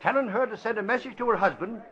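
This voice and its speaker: An actor playing a blustering, excitable, slow-witted newspaper reporter in a vintage radio drama. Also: male voice, high voice. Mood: excited. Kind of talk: 1940s radio acting. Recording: dull vintage radio recording.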